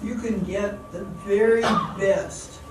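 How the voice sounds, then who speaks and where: Woman acting a slow, naive young dancer, echoey hall. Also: mid-range voice, steady tone, over music, natural voice. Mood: wistful, admiring. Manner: hesitant, wide-eyed. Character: naive, eager, self-doubting small-town young woman dancer. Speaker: actress in an amateur radio drama. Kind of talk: theatrical amateur radio drama acting. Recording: very echoey hall, distant mic, background noise.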